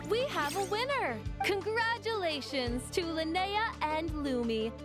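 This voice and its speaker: A woman voicing a young female tournament announcer in a video game, proclaiming in drawn-out phrases. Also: very high-pitched, lively intonation. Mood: celebratory. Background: game music.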